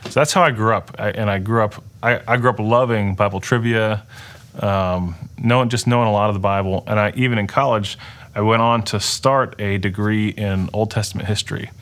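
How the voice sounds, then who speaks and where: A middle-aged man whose first language is Dutch shares his story in a conversational interview. His voice sits a little low. He talks earnestly, often pausing and restarting mid-sentence. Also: fairly even intonation, fairly bright tone. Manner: earnest, halting, many restarts and fillers. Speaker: interview guest. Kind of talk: personal testimony in conversation.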